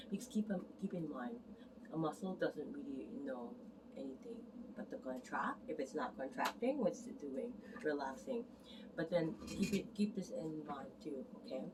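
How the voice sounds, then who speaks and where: A woman massage therapist talking casually and earnestly to camera, rambling and correcting herself as she goes. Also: a bass voice. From Canada immigrant, arrived as a teen. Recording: distant mic, noticeable background noise.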